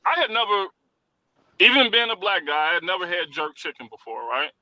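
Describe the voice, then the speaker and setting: Man with a very bright voice, fairly high, animated storyteller, dry close mic. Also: a little fast, no room sound, low-quality recording. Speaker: guest, popcorn shop owner. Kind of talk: casual interview chat.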